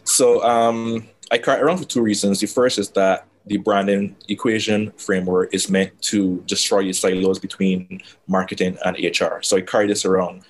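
Man, low voice, dry and reflective, interview guest. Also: moderate pace, hardly any pauses, a little hiss or hum. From Barbados. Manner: relaxed, reflective, self-described dry delivery. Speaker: guest, brand consultant. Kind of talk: casual interview conversation.

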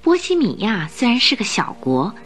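A woman's voice: on the high side, huge pitch swings, moderate pace, faint music behind. A woman narrating a radio drama in a scripted storytelling style.

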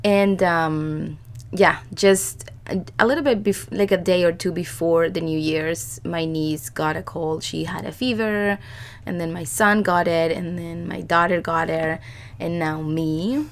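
A woman in her thirties speaks in a slightly low, scratchy voice roughened by a cold. She chats in a loose, rambling way, full of fillers and restarts, as she co-hosts a relaxed podcast. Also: measured pace. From Chile. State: hoarse from a cold. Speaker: podcast co-host. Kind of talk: casual chat.

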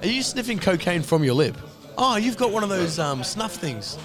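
A man with a high, very animated voice hosts a loose, sweary chat. He teases and jokes as he goes, with loud music playing behind him. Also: moderate pace. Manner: jokey, teasing, sweary. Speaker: podcast host. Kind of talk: casual bantering chat.

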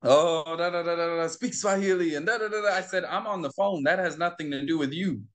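A man speaking in a slightly high voice as an interview guest. He tells his story in a conversational, animated way, voicing each side of the exchange and hammering points home through repetition. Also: fairly quick. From United States. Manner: animated, acts out quoted exchanges, repeats himself for emphasis.

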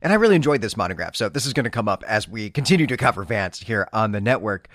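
A man's voice: on the low side, sing-song, fast, fairly bright tone, no room sound, clean studio sound. A man hosting a podcast, explaining and discussing books in an articulate, scholarly way. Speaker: podcast host. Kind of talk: literary discussion and book recap.

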